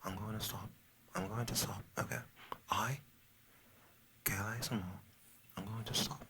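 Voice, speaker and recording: An American man putting on a Cockney British accent for a rambling, soft-spoken, partly whispered ASMR chat that repeats and trails off. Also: fairly low pitch, steady tone, normal speed, noisy background. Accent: put-on Cockney British. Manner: meandering, repetitive, often trailing off. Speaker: ASMR video creator.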